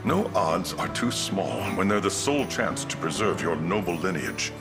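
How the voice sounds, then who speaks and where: A somewhat low male voice of a loyal, reproving retainer. His formal game-cutscene delivery moves widely in pitch, with loud music behind it. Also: animated, normal speed. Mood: grave, resigned. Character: stern, loyal retainer to a noble lady. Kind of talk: video game cutscene acting.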